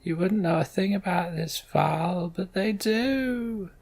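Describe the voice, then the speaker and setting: A fearful old clansman from a fantasy game, acted out by a man. His voice is pitched well up and creaky, and he draws out his doom-laden words slowly. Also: darkish tone, exaggerated voice. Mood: fearful, ominous.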